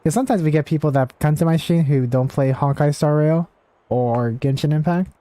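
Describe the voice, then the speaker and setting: A man's voice: fairly high pitch, fairly quick, dark tone, no echo. A man streaming a video game, chatting casually with viewers as he comments on what he plays. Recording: game music behind the voice. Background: video game music.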